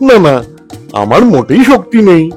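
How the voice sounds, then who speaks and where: A woman's voice: fairly low pitch, very animated, normal speed, a little mellow, voice pushed down. A woman voicing a small, timid but clever octopus king in a children's cartoon, flustered and protesting, with music underneath. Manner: flustered, protesting, comically anxious.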